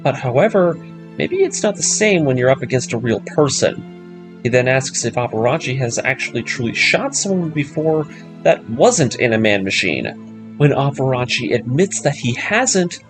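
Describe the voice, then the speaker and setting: A man's voice: mid-range voice, sing-song, moderate pace. A man delivering scripted narration, recapping a story in the manner of a video breakdown series. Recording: voice-over with music underneath.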